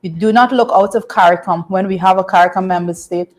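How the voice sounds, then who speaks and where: A woman's voice: mid-range voice, brisk. A woman co-hosting a casual current-affairs chat, speaking emphatically and laughing often. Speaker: co-host.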